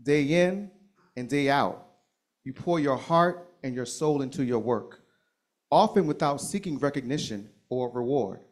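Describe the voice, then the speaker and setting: A man at a school board meeting delivering a formal, prepared speech of praise in a measured, ceremonious way. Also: medium pitch, lively intonation. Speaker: school district speaker.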